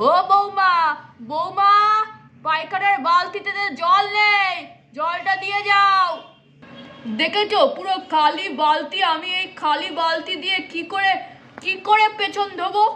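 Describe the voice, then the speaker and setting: Cartoon grumpy old grandfather doll, played by a woman: high-pitched, bossy, always scolding and ordering people about. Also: fairly even intonation, measured pace, bright, forceful tone, slight background noise, heavily acted. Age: older. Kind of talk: puppet doll cartoon acting.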